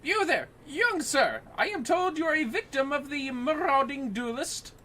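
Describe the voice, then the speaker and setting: A man's voice: very high voice, sing-song, normal speed, bright, forceful tone, slight background noise, pitched above the performer's own voice, heavily acted. A man voicing a pompous, boastful young male adventurer from a fantasy video game, with theatrical delivery. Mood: smug, amused. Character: boastful swaggering young male adventurer, self-styled hero.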